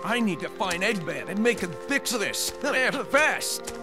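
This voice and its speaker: A man's voice: high-pitched, animated, slow, over loud music. A man voicing a cocky, easygoing young hedgehog hero in a game cutscene, with an AI-converted voice. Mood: confident. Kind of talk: animated game cutscene acting.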